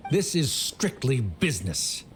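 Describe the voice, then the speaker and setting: A man's voice: mid-range voice, big pitch swings, unhurried, slight background noise. A cold, imperious scholarly father. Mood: cold, commanding. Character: stern, dismissive father, an academic official. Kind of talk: video game character acting.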